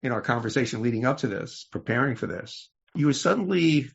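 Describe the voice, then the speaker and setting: Guy hosting a podcast, normal pitch, casual measured questions, kinda dull audio. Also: lively intonation, gravelly, slightly rough. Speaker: podcast host, interviewer. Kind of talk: casual conversational interview.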